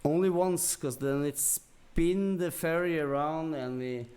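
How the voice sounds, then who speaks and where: A man's voice: on the high side, takes it slowly, a little mellow. A man from Norway with a Norwegian accent, telling anecdotes casually as a podcast guest and searching for his words in non-native English.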